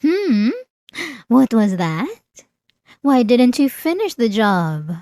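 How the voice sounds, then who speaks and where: A woman playing an ancient, obsessive and lonely female vampire in a theatrical ASMR roleplay, with a teasing, seductive, drawn-out delivery. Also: medium pitch, darkish tone, no echo, studio quality. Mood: taunting, amused. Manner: dramatic, teasing, drawn-out delivery with breathy asides.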